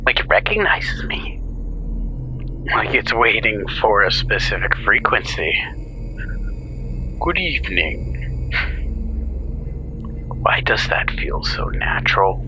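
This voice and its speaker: A man acting an unhinged, confined patient who believes he is broadcasting, in a theatrical horror monologue that moves between breathy, halting whispers and louder outbursts. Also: medium pitch, background noise. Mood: secretive, paranoid, then agitated, questioning.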